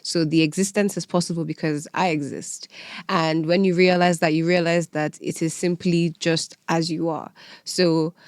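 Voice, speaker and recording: A woman's voice: low voice, little pitch movement, moderate pace, no echo, clean studio sound. A woman from Nigeria with a Nigerian accent, telling personal stories candidly and conversationally as a podcast guest. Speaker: podcast guest, event organiser.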